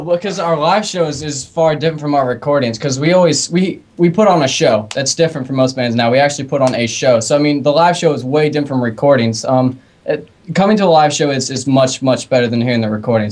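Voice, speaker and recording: A man's voice: medium pitch, a little fast, few pauses, darkish tone. A man who plays in a band answers casually as a radio guest, explaining things earnestly and informally. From outside the UK. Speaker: band member, interview guest.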